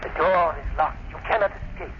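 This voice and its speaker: A man's voice: a little high, fairly quick, lightly acted. An actor playing a vengeful, theatrical mad professor who taunts his enemy, in a 1930s radio thriller style. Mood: gloating, menacing. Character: vengeful, gloating mad professor, crippled villain. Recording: noisy muffled 1930s radio recording.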